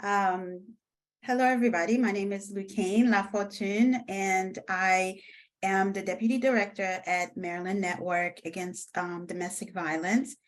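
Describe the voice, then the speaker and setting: A woman in her thirties from Haiti, speaking reflectively and hesitantly as a panelist in an online discussion, with many pauses and fillers. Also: medium pitch, fairly even intonation. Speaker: panelist, deputy director.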